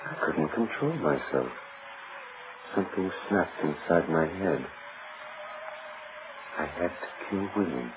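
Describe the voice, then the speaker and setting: An actor in an old radio detective drama playing a genteel, remorseless wife-killer who speaks formally and haltingly. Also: male voice, rumbling low, lively intonation, full character voice. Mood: confessional, detached. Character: confessed serial wife-killer, genteel, remorseless, oddly composed man. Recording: muffled, noisy old radio recording.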